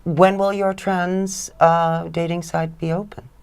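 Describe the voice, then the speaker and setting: A talk show host conducting an interview. The voice is smooth and clear, sitting at a middle pitch, with short questions put to guests. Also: level tone, slow, a little mellow.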